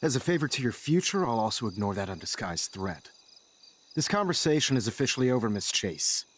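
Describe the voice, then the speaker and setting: An American man playing a composed, stern high school teacher in a video game, speaking formally and firmly. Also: big pitch swings, a little hiss or hum, close to an everyday voice. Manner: measured, formal, firm.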